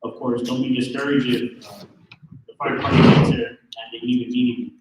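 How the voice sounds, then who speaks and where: A man with a loud voice giving a fire safety briefing to a room of business people, hesitant with many ums and restarts. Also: mid-range voice, flat delivery, a little fast, heavy background noise. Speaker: fire marshal office representative. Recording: echoey room, far from the mic.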